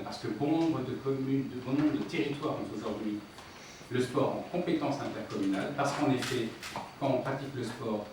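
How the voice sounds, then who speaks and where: A man arguing as a local official in a council debate, insistent and emphatic, repeating his points. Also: medium pitch. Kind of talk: political debate, explaining and arguing. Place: indoor municipal council meeting. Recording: very echoey room, distant mic, noisy.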